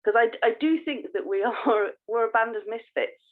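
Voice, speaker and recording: A woman's voice: on the high side, normal speed. A woman co-hosting a podcast, chatting casually in an earnest, effusive manner. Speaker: podcast co-host. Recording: tinny phone-like call audio.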